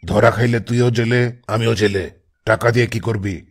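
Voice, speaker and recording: A man's voice: fairly low pitch, fairly even intonation, normal speed, slightly rough. A man voicing a bossy, irritable kidnapper boss in a comic cartoon, scolding and commanding in colloquial Bangladeshi Bengali. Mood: urgent commanding. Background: music.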